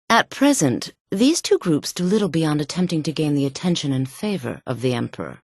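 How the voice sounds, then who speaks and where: A woman's voice: low voice, darkish tone, no room sound, clean studio sound. An actress playing a haughty woman mage in a fantasy video game, speaking in a sultry, formal, imperious way. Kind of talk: video game character voice acting.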